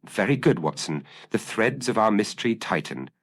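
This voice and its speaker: A man voicing a shrewd, confident gentleman detective in an audio story, speaking precisely and formally. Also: on the low side, very animated, normal speed, voice pushed up. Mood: grave, certain.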